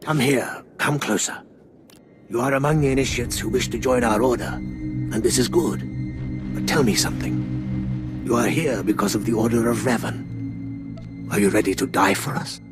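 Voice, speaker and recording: An older actor playing a solemn, ceremonial cult elder in a video game, speaking gravely and deliberately. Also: male voice, medium pitch, music in the background, natural voice. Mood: solemn, probing. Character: solemn old cult elder testing initiates. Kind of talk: scripted video game dialogue.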